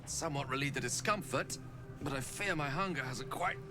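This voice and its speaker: A man playing an erudite, courteous, world-weary wizard in a fantasy video game, with eloquent, measured, poetic phrasing. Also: mid-range voice, music in the background, lightly acted. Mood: troubled, melancholic.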